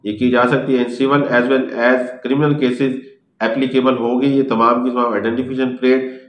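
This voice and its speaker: A man's voice: mid-range voice, flat delivery, a little slow. A man with a Pakistani accent giving an explanatory law lecture, reading out points in English and then explaining them didactically. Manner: didactic, switches between English phrases and explanations. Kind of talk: explanatory lecture mixing read-out points and commentary. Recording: echoey room.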